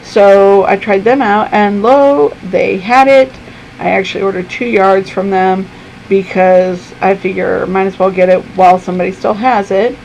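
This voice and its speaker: A woman who is losing her voice talks casually to her camera from her room at home, with a gravelly, creaky edge. She chats along with frequent ums, and faint air-conditioning hum sits behind her. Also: medium pitch, a little mellow, low-quality recording. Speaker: vlogger.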